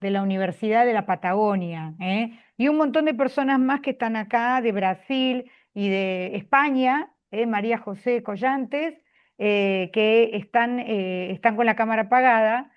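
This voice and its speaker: Host type, Argentine woman, fairly high voice, chatty with lots of fillers, muffled video-call sound. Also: normal speed, smooth. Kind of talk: video call closing remarks. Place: public office.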